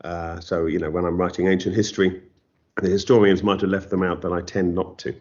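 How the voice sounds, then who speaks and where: A man's voice: sits low, flat delivery, a little fast, a little mellow. A man with an English accent, a novelist being interviewed, who talks in a thoughtful, self-deprecating way with many restarts. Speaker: novelist guest. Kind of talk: interview conversation. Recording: slightly dull lo-fi audio.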